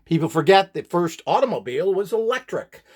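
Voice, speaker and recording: A man's voice: high-pitched, very animated, a little fast. A man giving an informal, rambling instructional talk as host, in an opinionated, dismissive manner.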